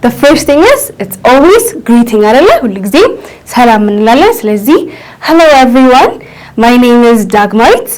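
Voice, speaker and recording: Fast-talking Ethiopian woman teaching English. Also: fairly high pitch, big pitch swings, darkish tone, rough recording. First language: Amharic. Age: 20s. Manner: quick, switches between languages, slows to spell out English phrases. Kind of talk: bilingual English lesson.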